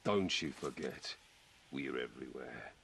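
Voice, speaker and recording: Thuggish god, game henchman, bottomless low voice, gravel, taunting menace. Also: animated, a little slow, fairly bright tone, a little hiss or hum, exaggerated voice.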